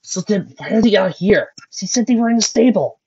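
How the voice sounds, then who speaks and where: A man voicing a gruff adult stallion in a brash, loud manner, on a dull lo-fi recording. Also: sits high, animated, a little fast, pitched above the performer's own voice, exaggerated voice.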